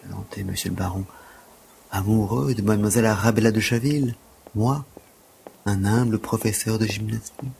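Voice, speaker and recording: A humble, frail gymnastics instructor played by a man speaks in a low, creaky voice. He is earnest and deferential, telling things in formal, long-winded phrases, as in a radio play. There is faint noise behind him. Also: steady tone, normal speed, darkish tone. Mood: smitten, humble. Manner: deferential, wordy, formal storytelling. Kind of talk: audio drama acting.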